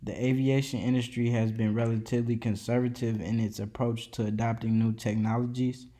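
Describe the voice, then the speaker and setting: A man's voice: mid-range voice, little pitch movement, fairly quick, mellow. A man reading an educational presentation aloud from a script, with occasional slips and restarts. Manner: reads steadily from a script, with small slips and restarts. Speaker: student presenter. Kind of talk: read-aloud educational narration.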